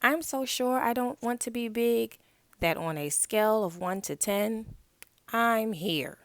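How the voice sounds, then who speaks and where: Stubborn young frog for a picture book female reader, slow, childlike protests, dry close sound. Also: a little high, animated, no room sound. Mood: protesting. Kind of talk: picture-book read-aloud.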